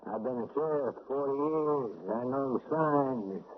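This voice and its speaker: An actor playing a shrewd, stern small-town sheriff of forty years' service, who questions in a folksy drawl in a 1970s radio drama. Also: male voice, mid-range voice, unhurried, close to an everyday voice. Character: older rural male sheriff, shrewd and stern. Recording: tinny lo-fi old radio broadcast with faint hiss.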